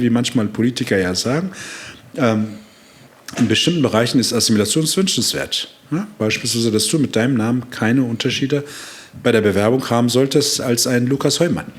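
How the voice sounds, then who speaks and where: A man in his sixties, born in Turkey, a psychology professor explaining at length in an interview, acting out quoted dialogue with frequent fillers. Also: medium pitch.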